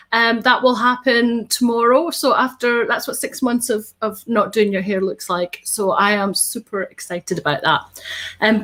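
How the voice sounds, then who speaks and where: Woman, 30s, upbeat and bubbly, slightly high voice, live webcam host, lots of ums. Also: a bit bright. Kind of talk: live show chat. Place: home studio with tinsel backdrop.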